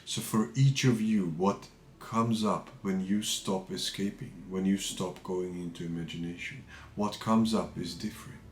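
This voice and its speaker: A man with a low voice leads a guided meditation in a calm, measured manner. He speaks very slowly, leaving pauses between short phrases. The sound is noticeably roomy. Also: slight background noise. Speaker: meditation teacher. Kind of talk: guided meditation, unscripted spiritual talk.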